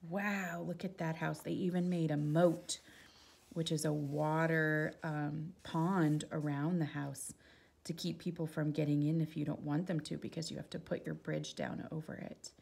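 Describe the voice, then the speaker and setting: A woman's voice: sits low, vocal fry, husky. A woman reading a children's picture book aloud expressively, with casual asides about the pictures. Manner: expressive, exclaiming softly at the pictures.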